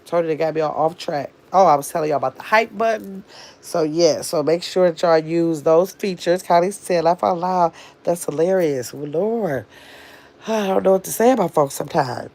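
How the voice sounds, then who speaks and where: A woman with a nasal, somewhat low voice hosts a casual livestream. She chats loosely with her viewers, reading out their comments and teasing trolls as she goes. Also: normal speed, a little mellow. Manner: chatty, teasing, scolds trolls. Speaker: livestream host.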